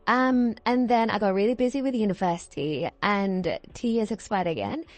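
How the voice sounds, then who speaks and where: A young British woman in her early twenties talks casually, like a video creator rambling to her audience. Her voice sits at a middle pitch for a woman. She is chatty and digressive, often correcting herself mid-thought, and the sound is dry, with very little room echo. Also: normal speed. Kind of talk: casual rambling chat over gameplay.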